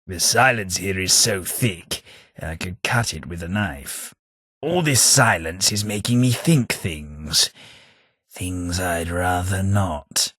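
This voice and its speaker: A haughty old British aristocrat ghoul from a video game. The low voice is raspy yet bright and forceful. He speaks in a formal, pompous way, with dry wit. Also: slow pace, dry recording, exaggerated voice. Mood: formal, reproving. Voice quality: raspy, gravelly. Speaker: video game companion character. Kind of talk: scripted video game voice acting.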